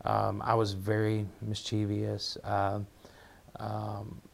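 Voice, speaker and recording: Gravelly low-voiced Appalachian man. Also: monotone, slow. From southwest Virginia, United States. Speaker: pastor, podcast guest. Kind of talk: personal storytelling in an interview.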